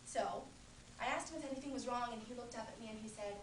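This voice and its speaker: A woman's voice: a little high, fast, a little hiss or hum, close to an everyday voice. A woman playing a young woman who recounts an odd affair with dry amusement, recorded from a distance in a roomy space. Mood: wry. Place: room at a performance.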